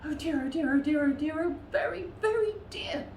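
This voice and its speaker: A woman's voice: sits high, lively intonation, normal speed, darkish tone, pitched above the performer's own voice. A woman voicing a big, hapless female polar bear who frets in sing-song exclamations, for a children's storybook. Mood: panicked, fretful. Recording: roomy echo with faint background noise.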